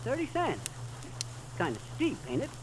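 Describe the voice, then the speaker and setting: Stubborn, folksy radio-comedy husband. Very high, lively pitch, blustery and colloquial, vintage 1930s sound. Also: male voice, normal speed, full character voice. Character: folksy, stubborn, grumbling happy-go-lucky husband at the wheel. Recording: noisy vintage 1930s radio recording, crackle.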